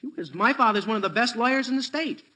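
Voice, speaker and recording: High, cracking-voiced American teenage boy. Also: expressive, fast talker, sharp tone, exaggerated voice. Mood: boastful, insistent. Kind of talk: 1940s radio sitcom acting.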